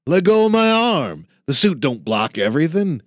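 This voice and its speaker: A man's voice: mid-range voice, lively intonation, normal speed, no echo, clean studio sound, voice pushed up, close to an everyday voice. A man voicing a grumpy old male janitor who talks bluntly in folksy slang. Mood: gruff.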